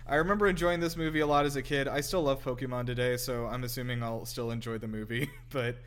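A man's voice: medium pitch, steady tone, quick pace, fairly bright tone. A man hosting a casual film commentary chat, rambling and somewhat hesitant as he talks. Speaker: podcast host.

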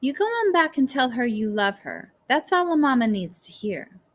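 A woman's voice: high-pitched, sing-song, normal speed, voice pushed up. A woman voicing a kindly, worn shelter resident with missing teeth in an audiobook, folksy and consoling. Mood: gentle advice.

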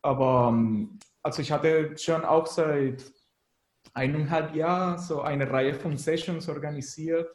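Man, Venezuelan Spanish accent, slow hesitant delivery, slightly high pitch, casual interview guest, searching for words. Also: steady tone, roomy sound. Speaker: interview guest, musician and graphic designer.

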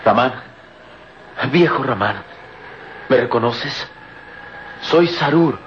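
A man's voice: medium pitch, animated, slow pace, fairly bright tone. An actor playing an anguished, insistent young Egyptian man in an old radio drama, repeating his words with emphasis. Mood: anxious insistence. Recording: muffled, noisy old radio drama recording.

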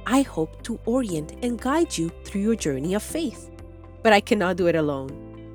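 A middle-aged woman hosting a faith podcast, enthusiastic and repeating words for emphasis. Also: mid-range voice. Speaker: podcast host. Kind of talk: warm conversational podcast hosting.

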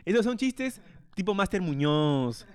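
A man's voice: high voice, sing-song, unhurried, a little mellow, clean tone, no room sound. A young man co-hosting a podcast in casual banter, rambling and joking and stumbling over his words. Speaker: podcast co-host.

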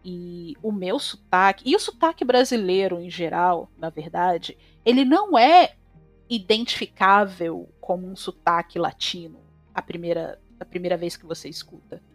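A woman from Brazil with a Brazilian Portuguese accent, a chef talking as a guest in a casual interview, opinionated and animated, her voice jumping up when she stresses a point. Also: fairly low pitch, normal speed, faint music behind. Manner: animated, opinionated, rising sharply when emphatic. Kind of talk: casual conversation.

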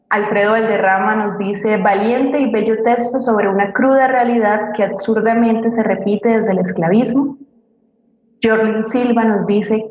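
A female co-host reads audience messages on a live forum. Her voice sits at an ordinary female pitch. The sound is noticeably roomy, with plenty of echo around the words. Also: a little mellow, slight background noise. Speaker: co-host reading audience comments. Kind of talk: radio theatre forum, reading listener messages. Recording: roomy, echoing voice.

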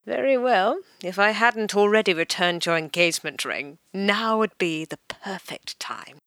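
A woman's voice: medium pitch, measured pace, a bit bright, no echo. An actress playing a haughty, cutting 1930s society woman with an upper-class English accent in an audio drama, speaking in a clipped, condescending, formal way. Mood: coolly indignant. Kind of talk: full-cast audio drama acting.

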